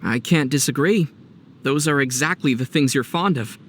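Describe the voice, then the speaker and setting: A man voicing a young male adventurer in a video game, light and youthful, playful, easygoing and gently teasing. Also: medium pitch, normal speed, noisy background, lightly acted. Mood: gentle, easygoing. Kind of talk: video game character dialogue.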